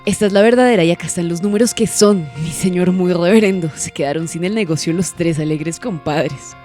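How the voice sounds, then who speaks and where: A woman's voice: fairly low pitch, brisk, mellow, natural voice. An actress playing a furious, imperious woman in an audio drama, accusing with vehement sarcasm. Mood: enraged, sarcastic. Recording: music underneath. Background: music.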